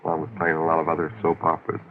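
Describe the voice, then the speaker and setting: Deep-voiced male radio host, gravelly edge. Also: completely flat delivery, racing, slight background noise. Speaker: radio show host interviewing a guest. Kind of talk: casual nostalgic interview chat. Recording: dull lo-fi old radio broadcast.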